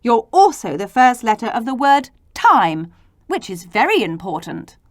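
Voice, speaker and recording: Storybook grown-ups read by a woman: authoritative and emphatic, firm delivery with animated pitch. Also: a little slow, dry recording, clean studio sound. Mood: approving.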